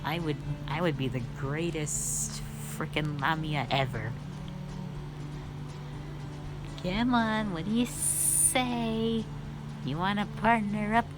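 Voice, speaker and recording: A woman's voice: on the low side, rough recording. Sassy, chatty lamia girl, voiced by a woman over music. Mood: eager, persuading. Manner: chatty, halting, blunt teasing with eager bursts. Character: sassy, cute female forest lamia, playful half-snake monster. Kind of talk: roleplay audio addressed to the listener. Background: background music.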